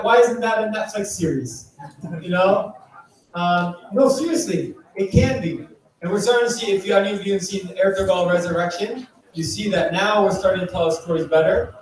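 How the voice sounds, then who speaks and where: Man, high voice, chatty conference speaker, heavy hall echo, distant from the mic. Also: darkish tone. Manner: chatty, engaging, quizzes the audience. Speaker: startup founder giving a talk. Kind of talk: conference talk to a live audience. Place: event venue.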